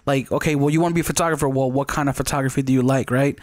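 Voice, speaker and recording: A man's voice: medium pitch, steady tone, very fast, no room sound, studio quality. A man hosting a casual podcast interview, rambling with many restarts and tag questions. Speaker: podcast host.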